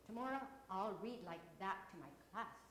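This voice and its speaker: A shy schoolgirl who finds her courage, read by a woman in her own voice. She speaks softly and earnestly, a touch quieter than the narration. The room around her is roomy and echoing. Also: medium pitch, expressive, moderate pace, noisy background, lightly acted. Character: shy determined young schoolgirl.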